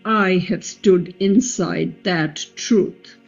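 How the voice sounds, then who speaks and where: A woman playing a devoted, wounded epic queen in a play reading, speaking in a measured, reflective and solemn way, quietly resolute. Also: fairly low pitch, gravelly, highs cut off, faint music behind, exaggerated voice. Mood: solemn, reverent. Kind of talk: play reading, radio drama.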